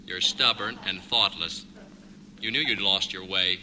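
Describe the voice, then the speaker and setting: Casting: nagging imp of conscience, very sharp bright tone, slow needling delivery, old radio comedy. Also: male voice, low voice, steady tone, exaggerated voice. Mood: taunting, scolding. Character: scolding, taunting inner conscience, a little imp. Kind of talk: 1950s radio comedy acting. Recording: faintly noisy vintage 1950s radio recording.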